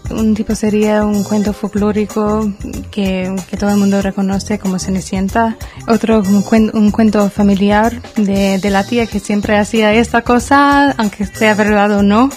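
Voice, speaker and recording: A woman speaking in a radio interview, slowly explaining kinds of folk tales and telling an anecdote. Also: mid-range voice, fairly even intonation, a little mellow. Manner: slow, anecdotal. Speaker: historian interviewee. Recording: radio interview with music behind. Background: music.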